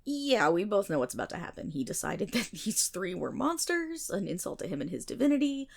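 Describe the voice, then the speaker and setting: Woman as enthusiastic nerdy female best friend, ASMR roleplay storyteller, mid-pitched with gravelly fry, wry and teasing. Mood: grave storytelling. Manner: animated, wry, dramatic pauses and playful asides.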